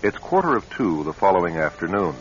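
An actor narrating a suspense radio drama in a measured, deliberate and dramatic way. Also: male voice, deep voice, sing-song. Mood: measured, ominous. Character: measured male storyteller of a suspense play. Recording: muffled old radio recording, music underneath.

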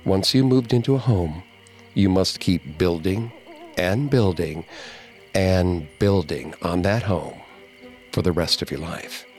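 A man playing a grave, deep-voiced spirit medium. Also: slow, a little mellow, soft background music. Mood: solemn. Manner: grave, warning. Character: solemn male spirit medium giving grave warnings.

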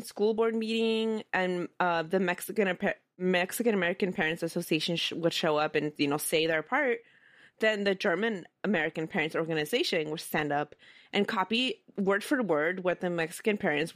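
A woman's voice: fairly low pitch, moderate pace, no room sound, studio quality. A woman hosting a casual history podcast, telling the story in a conversational way and reading documents aloud. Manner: conversational, reads quoted documents aloud, chuckles often. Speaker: podcast host.

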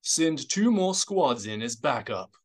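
A man's voice: mid-range voice, sing-song, slow. A man playing a stern, suspicious military colonel in an audiobook, stiff, guarded and commanding.

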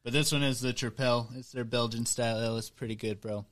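Laid-back podcast host, swearing freely. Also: male voice, medium pitch, fairly even intonation, quick pace, fairly bright tone. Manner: laid-back, swears freely, calls people dude and bro. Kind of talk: casual podcast chat.

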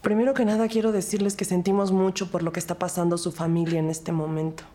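A woman acting a composed, sympathetic police detective and narrator in an audio crime drama, measured and formal. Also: fairly low pitch, little pitch movement, mellow, lightly acted. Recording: music bed behind the voice.

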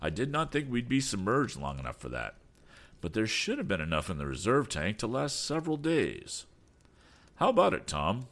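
Man as elderly inventor, storybook father figure, steady, determined, authoritative, fairly low, expressive. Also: moderate pace, exaggerated voice. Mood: concerned.

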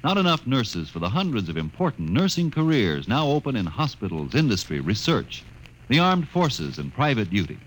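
A man's voice: medium pitch, wildly swooping pitch, moderate pace, slight background noise, low-quality recording. A man delivering an earnest, persuasive public service appeal on 1950s radio.

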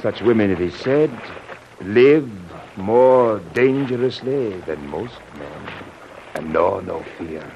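Low-voiced, suspicious gentleman traveler, portentous and swooping, in an old radio serial. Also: lively intonation, slow, creaky, muffled recording, heavily acted. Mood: probing, courteous. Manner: theatrical, portentous, formal. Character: probing, suspicious male ship passenger, courteous and ominous. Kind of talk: 1930s radio serial acting. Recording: noisy band-limited old radio recording.

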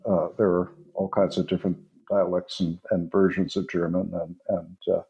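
Older man, very low voice, measured hesitant answers, interview guest. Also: level tone, highs cut off. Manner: thoughtful, hesitant with many fillers. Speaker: author and musicologist guest.

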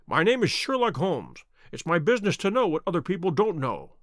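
Male narrator as suave detective, animated intonation, courteous, confident, precise, dry audiobook sound. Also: high voice, a little fast, no echo. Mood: bland, assured. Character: shrewd, suave, self-assured gentleman detective.

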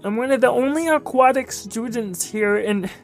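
A woman voicing an earnest, awkward young squid in a children's audio story, halting and sincere. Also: a little high, soft background music, close to an everyday voice. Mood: hurt, earnest.

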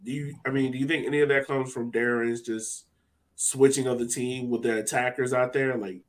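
A man's voice: mid-range voice, steady tone, a little fast. A man hosting a sports podcast, talking casually and thinking aloud as he goes. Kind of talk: casual soccer discussion.

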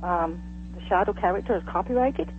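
A man's voice: high-pitched, brisk. A man from Canada calling in by phone, speaking casually and hesitantly. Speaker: caller, old-time radio fan. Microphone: telephone. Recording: tinny phone line with hum and faint noise.

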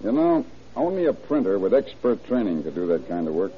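An actor playing a plain-spoken, wry police detective in a classic radio crime drama. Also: male voice, sits low, lively intonation, fairly quick. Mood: matter-of-fact. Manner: matter-of-fact, wry. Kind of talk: 1940s-50s radio crime drama acting. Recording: tinny, narrow old radio recording.

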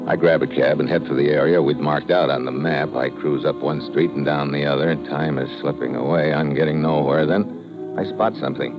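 A man playing a tough, sardonic American investigator in an old radio crime drama, speaking in terse, wisecracking lines and narration. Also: extremely low pitch. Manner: hard-boiled, terse, wisecracking. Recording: muffled, noisy vintage radio recording.

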